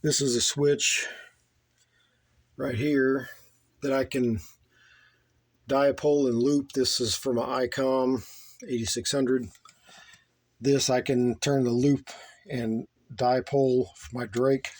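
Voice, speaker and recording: Halting, plainspoken man. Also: medium pitch, little pitch movement. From United States. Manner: halting, plainspoken, repeats himself. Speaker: radio hobbyist giving a tour of his setup. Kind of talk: casual unscripted show-and-tell. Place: small home radio room.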